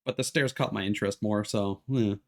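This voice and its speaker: A man's voice: lowish voice, steady tone, fairly quick, slight background noise. A man giving casual, off-the-cuff live commentary as he streams a video game.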